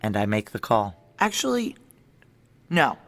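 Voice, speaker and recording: A man's voice: lowish voice, wildly swooping pitch, a little slow, fairly bright tone, a little hiss or hum, heavily acted. A man playing a tough, controlled security chief who narrates a sci-fi noir audio drama in clipped, terse phrases. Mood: tense, controlled. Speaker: narrator and lead character.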